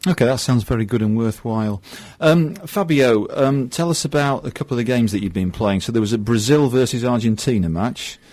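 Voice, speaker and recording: A man's voice: mid-range voice, a little fast, hardly any pauses, a little mellow. A man hosting a local radio show, interviewing guests in a jokey way and laughing often. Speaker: radio presenter.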